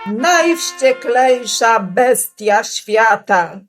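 A woman playing a pompous arena herald in a children's radio play, with drawn-out singsong proclamations on a noisy amateur recording. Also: a little high, expressive, a bit bright, soft background music, full character voice. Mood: proclaiming. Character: pompous mounted herald announcing a fearsome bull.

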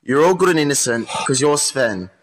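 A man's voice: medium pitch, animated, normal speed, natural voice. A man playing a hardened, skeptical police interrogator in a modern radio play, speaking bluntly and insistently. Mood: accusing.

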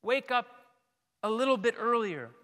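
Man, clear resonant voice, unhurried solemn preaching, measured phrases, long church echo. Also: very high-pitched, animated, bright, forceful tone. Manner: solemn, deliberate, with frequent pauses. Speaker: priest. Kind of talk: sermon, measured scripted delivery. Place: large church, indoors at a pulpit. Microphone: gooseneck pulpit microphone.

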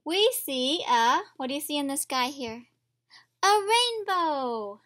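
Female primary teacher for picture-book read-alouds; high, bright voice, unhurried delivery, speaks straight to the kids. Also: animated. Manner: instructive, addressing children directly with questions. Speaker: teacher of young children. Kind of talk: read-aloud storybook lesson for young children. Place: indoors at a table, reading from a picture book.

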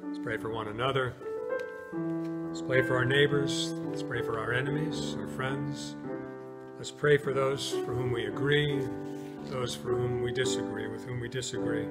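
An American man in his sixties, a pastor preaching and praying in a solemn, measured way with pauses between short phrases. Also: mid-range voice, flat delivery, over loud music. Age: 60s. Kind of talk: sermon, scripture reading and prayer. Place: inside a church, near the altar.

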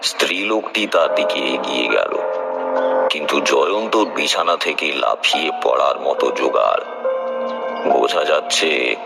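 A man's voice: very deep voice, expressive, a little slow, gravelly. A man narrating a horror story in the first person, dramatic and suspenseful, with a lingering delivery. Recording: loud music bed under the voice. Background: loud background music.

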